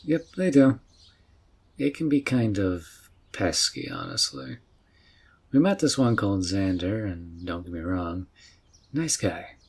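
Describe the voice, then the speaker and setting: A man's voice: low-pitched, big pitch swings, takes it slowly, creaky, husky, exaggerated voice. A man voicing a laid-back, friendly and curious male mage in a fantasy roleplay audio, with a casual, questioning manner. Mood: amused, casual. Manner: casual, easygoing, puzzled questioning. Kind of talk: roleplay audio drama for the listener.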